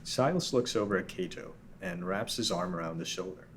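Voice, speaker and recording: A man's voice: deep voice, a little fast, slight background noise. A man narrating a script at a table read, reading the stage directions plainly.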